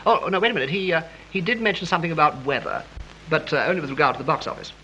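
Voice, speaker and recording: An actor playing a well-spoken, offhand English gentleman in a 1930s British film. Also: a little high, lively intonation, very fast, muffled recording. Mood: offhand, vague. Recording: noisy 1930s film soundtrack.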